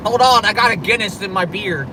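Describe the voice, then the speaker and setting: Brief: man in his thirties, unhurried jokey live-stream chatter, a bit high, off-mic with loud boat noise outdoors. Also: distant mic. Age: 30s. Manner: joking, laughs often, drawls. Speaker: co-host reading live chat. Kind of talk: casual live-stream chat.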